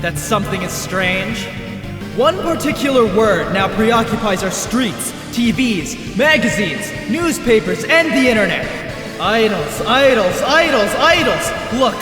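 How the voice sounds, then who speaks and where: A very high-pitched, animated young idol ringleader acted by a man in an anime dub. He is showy and taunting, declaiming like a stage agitator in heavy reverb over music. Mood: sly, accusing. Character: brash, theatrical young male idol ringleader, taunting rabble-rouser. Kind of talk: anime fandub acting, stage-speech declamation. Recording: echoey long reverb, loud music behind.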